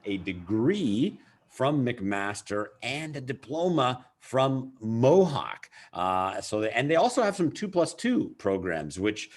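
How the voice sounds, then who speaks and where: Lively man hosting a webinar. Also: medium pitch, slow. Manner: lively, enthusiastic, frequent fillers. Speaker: webinar host.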